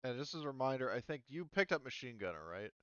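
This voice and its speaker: A man running a tabletop roleplaying game as game master, casual and halting as he thinks aloud. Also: mid-range voice, big pitch swings, a bit bright, clear, resonant tone, no echo, highs cut off.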